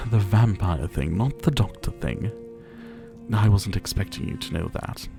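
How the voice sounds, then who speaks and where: Give this one to a suave, possessive vampire, played by a man, in an intimate ASMR roleplay. The voice is gravelly and unhurried, with very animated pitch. He toys with his captive in a theatrical, teasing, softly menacing way. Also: over music, exaggerated voice. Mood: teasing, controlling. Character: older male vampire captor, former doctor, suave, possessive. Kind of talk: ASMR roleplay acting to the listener.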